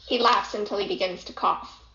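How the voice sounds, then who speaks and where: A woman's voice: mid-range voice, fairly even intonation, normal speed, bright, forceful tone. A woman reading stage directions as a scripted narration. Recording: echoey room, dull lo-fi audio.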